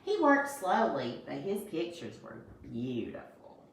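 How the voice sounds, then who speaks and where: A woman's voice: low-pitched, takes it slowly, creaky, distant mic, slight background noise. Grandmother teacher reading in an echoey room. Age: older. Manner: warm, expressive storytelling with asides to the class. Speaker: first grade teacher. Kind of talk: reading a storybook aloud to children. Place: home, with a small grandchild nearby. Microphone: phone camera held by her son.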